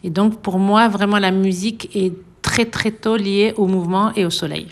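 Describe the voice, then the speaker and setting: A middle-aged woman violinist being interviewed, speaking thoughtfully with many pauses and light laughs. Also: medium pitch, fairly even intonation. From France. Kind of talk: relaxed radio interview.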